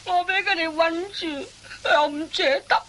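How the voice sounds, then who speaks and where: A woman's voice: very high-pitched, fairly even intonation, moderate pace, fairly bright tone. A woman playing a hapless, timid young male thief who whines plaintively in a Cantonese radio comedy, on a hissy old recording. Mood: tearful whining. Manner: whimpering, plaintive, tearful.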